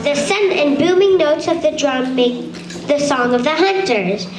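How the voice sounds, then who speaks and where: A female narrator with a very high voice reads a storybook script aloud. She occasionally trips and restarts. The room around her rings with echo. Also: lively intonation, moderate pace, heavy background noise. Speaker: narrator of a shadow puppet show. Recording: echoey room.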